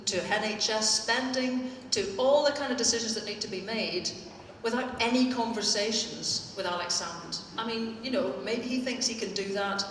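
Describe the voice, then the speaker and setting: Woman, Northern Irish accent, witty and pointed, live talk, rather high voice, distant mic in a big reverberant hall. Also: normal speed, a bit bright, slight background noise. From Northern Ireland. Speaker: speaker at a live political event. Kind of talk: live public talk to an audience. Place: theatre hall. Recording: echoey hall, speaker far from mic.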